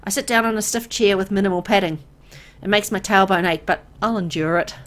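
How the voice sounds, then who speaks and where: A woman playing a weary, soft-hearted young woman who narrates her bleak town in a visual novel, reflective and conversational. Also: medium pitch, fairly quick, close to an everyday voice. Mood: resigned. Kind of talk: scripted first-person visual novel narration. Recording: music under the voice. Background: background music.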